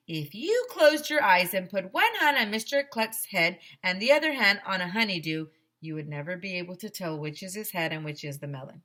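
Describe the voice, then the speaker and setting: A woman with a fairly low voice reads a children's chapter book aloud. She is middle-aged and stresses words theatrically, telling it as a schoolkid would. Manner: expressive, theatrical emphasis. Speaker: teacher reading aloud to children. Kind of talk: animated children's story read-aloud in a schoolboy's first person. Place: small decorated room indoors. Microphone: headset microphone.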